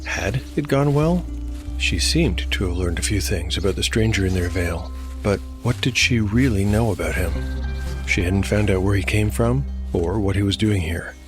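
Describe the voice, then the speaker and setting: Male narrator, low voice, dramatic measured storytelling, dark fantasy audio drama, music underneath. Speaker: narrator and host.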